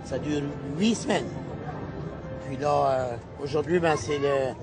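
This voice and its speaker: A man's voice: medium pitch, unhurried, music in the background. A man from New Brunswick with an Acadian French accent, chatting casually and a little hesitantly in a street interview. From New Brunswick, Canada. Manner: hesitant, friendly, laughs easily. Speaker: local interviewee. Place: outdoor harbour festival. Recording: outdoor crowd noise behind.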